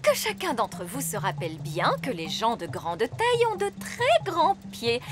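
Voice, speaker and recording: A woman in a French cartoon dub playing a brisk, exasperated fairy nanny. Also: fairly high pitch, wildly swooping pitch. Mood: bossy. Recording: music behind the voice.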